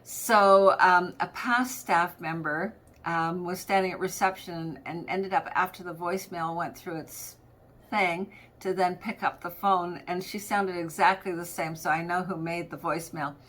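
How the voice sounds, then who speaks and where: A woman's voice: fairly low pitch, steady tone, unhurried, a bit bright. A middle-aged woman coach giving an informal, conversational training talk, explaining things with everyday anecdotes and examples. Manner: conversational, anecdotal, acts out example phone greetings. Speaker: practice management coach presenting a training episode.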